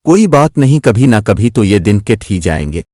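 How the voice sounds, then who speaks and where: A man's voice: lowish voice, sing-song, moderate pace, mellow, no echo, studio quality. Man voicing a stubborn, sulky little duckling. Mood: hurt, annoyed. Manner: indignant, plaintive.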